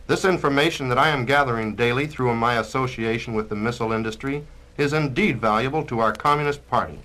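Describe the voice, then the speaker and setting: A man's voice: medium pitch, fast talker, pushed and bright, lightly acted, poor audio. A man playing a boastful, self-important communist union activist, pompous and oratorical, on a dramatized spoken-word record.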